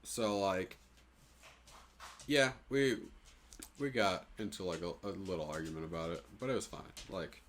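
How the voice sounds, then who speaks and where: Young adult man, very low pitch, casual sweary podcast chat. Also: normal speed, pauses often, a bit bright, a little hiss or hum. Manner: informal, sweary. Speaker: podcast co-host.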